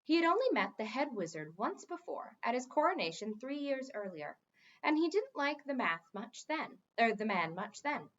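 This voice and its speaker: Animated woman reading a story aloud. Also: fairly high pitch, normal speed, muffled recording. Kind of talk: expressive storybook narration with informal asides.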